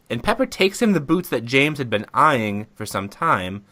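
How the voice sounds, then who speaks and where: Lively guy podcast host, bright voice, jokey and giggly, casual chat. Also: mid-range voice, a little slow, no echo, clean studio sound. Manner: giggly, jokey, easily cracked up. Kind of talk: casual comic podcast chat, reading a summary aloud.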